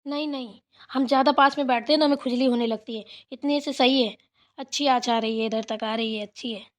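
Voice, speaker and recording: A woman's voice: fairly high pitch, steady tone, brisk, close to an everyday voice. A woman voicing an older village mother-in-law in a family cartoon, bossy and chatty, instructing in a homely, rambling way. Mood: chatty, content. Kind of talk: amateur Hindi family cartoon dialogue.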